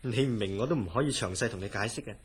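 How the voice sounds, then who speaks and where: A man playing a composed, probing psychiatric hospital director in a horror radio drama, measured and authoritative. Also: lowish voice, close to an everyday voice. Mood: musing, guarded.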